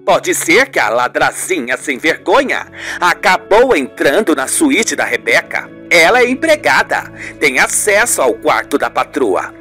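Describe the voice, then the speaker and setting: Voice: medium pitch, sing-song, a little slow, pushed and bright, faint music behind. A performer as an indignant, scheming older mother. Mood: insinuating. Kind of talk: radio soap opera acting.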